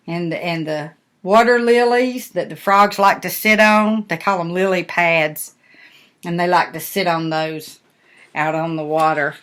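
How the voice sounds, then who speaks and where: A woman's voice: on the low side, steady tone, unhurried, fairly bright tone. An older woman, a grandmother reading a storybook to young children and chatting about it in a folksy, simple way. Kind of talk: storybook read-aloud with chatty asides to children.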